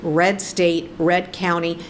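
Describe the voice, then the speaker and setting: A woman's voice: lowish voice, level tone, slow pace, slight background noise. Firm, passionate middle-aged woman. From Colorado. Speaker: guest, advocate. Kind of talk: interview.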